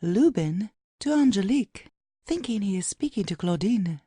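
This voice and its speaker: A woman's voice: medium pitch, animated, normal speed, mellow, husky, no room sound, clean studio sound. A woman narrating an audio play, reading out headings and stage directions as scripted narration.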